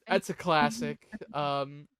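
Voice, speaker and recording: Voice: mid-range voice, slow, fairly bright tone, clear, resonant tone, no echo. A host chatting casually while ranking songs on a panel show, jokey, profane and talkative.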